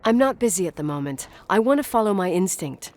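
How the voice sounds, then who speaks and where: Woman acting young female game heroine, mid pitch, inquisitive, uneasy, stubborn, earnest natural delivery. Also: sing-song, brisk, a little mellow, close to an everyday voice. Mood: determined, suspicious. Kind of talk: naturalistic video game dialogue.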